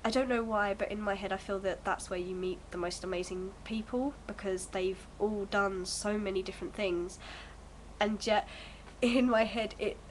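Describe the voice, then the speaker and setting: A woman's voice: mid-range voice, steady tone, normal speed, slight background noise, rough recording. Bunged-up young woman rambling to camera. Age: early 20s. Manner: rambling, hesitant, many fillers. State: bunged up from a cold. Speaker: vlogger. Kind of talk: casual chat to camera. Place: at home.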